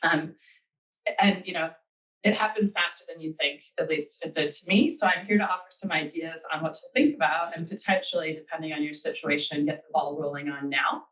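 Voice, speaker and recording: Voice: medium pitch, steady tone, brisk, a bit bright, recorded from a distance, poor audio. A speaker with an American accent giving a webinar talk, earnest and thinking aloud with frequent ums. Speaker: guest speaker.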